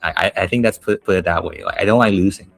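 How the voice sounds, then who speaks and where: Man, Taiwanese accent, English teacher, casual podcast chat, lowish voice, dry sound. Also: quick pace, gravelly, no echo, faint music behind. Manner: animated storytelling, frequent restarts and laughs.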